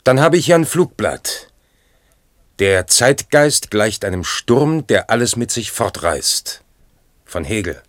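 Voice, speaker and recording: An actor playing a sober, probing questioner and commentator in a radio play, measured and inquiring. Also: male voice, fairly low pitch, big pitch swings.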